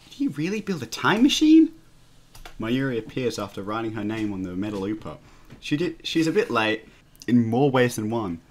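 Animated, stumbling male let's play host. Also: medium pitch, moderate pace. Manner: jokey, stumbling over words, often giggling. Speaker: let's play host reading a visual novel. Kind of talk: casual read-aloud with joking commentary.

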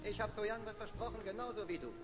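An actor dubbing a brash, cocky male cop with a loud, boastful, yelling manner, in a film. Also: high-pitched, quick pace, natural voice. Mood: teasing. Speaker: loud fast-talking detective. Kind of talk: film dub acting. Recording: film soundtrack with music behind.

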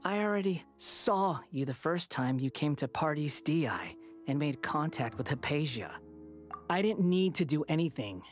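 An actor voicing an arrogant young male would-be god in a video game, talking down in a smug, mocking, slow lecturing way. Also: fairly high pitch, expressive, faint music behind, lightly acted.

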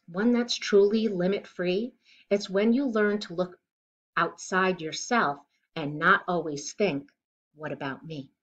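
A middle-aged woman reading a children's picture book aloud in an expressive, deliberate way, recorded indoors at home. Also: medium pitch, highs cut off. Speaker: children's storybook reader. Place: living room at home, indoors.

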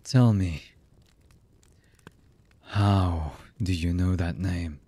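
A male voice actor playing a cold, menacing, commanding young anime villain in an ASMR roleplay, with a husky voice and a deliberate delivery. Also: sits low, fairly even intonation, mellow, heavily acted. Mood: quiet menace. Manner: slow, menacing, deliberate with long pauses.

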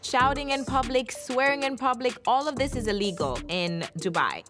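A woman's voice: high voice, expressive, moderate pace. A woman speaking in a reality-show confessional interview, wry and joking. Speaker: reality show cast member. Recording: background music.

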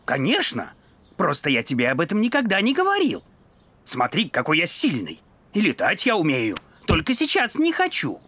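A man's voice: on the high side, huge pitch swings, moderate pace, bright, forceful tone, full character voice. A man voicing a playful, middle-aged cartoon dog father who brags in a grand, theatrical way. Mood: boastful. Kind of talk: animated cartoon acting.

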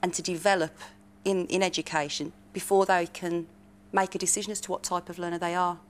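A woman, giving measured explanations in a panel discussion. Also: lowish voice, little pitch movement. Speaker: panellist, researcher.

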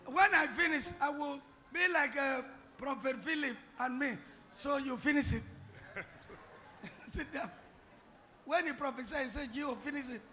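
A man's voice: very high voice, unhurried, pauses often, a little hiss or hum. A man preaching and exhorting a congregation on a handheld mic in a large church hall. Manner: exhorting, shouting to the crowd. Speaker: pastor. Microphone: handheld microphone.

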